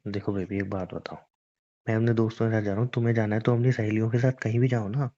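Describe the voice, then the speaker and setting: A man's voice: on the low side, flat delivery, fast, warm tone, lightly acted. A man playing a stubborn, teasing young boyfriend in a romantic phone-call roleplay, argumentative and coaxing in casual conversation. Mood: exasperated, coaxing. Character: stubborn, teasing young boyfriend defending his boys' trip. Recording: dull lo-fi phone call recording.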